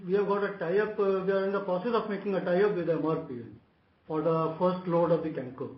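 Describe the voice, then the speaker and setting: A high-pitched man gives answers as a company executive on a conference call. His sound is echoey and muffled. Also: fairly even intonation, a little fast. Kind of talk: answering questions on an earnings call.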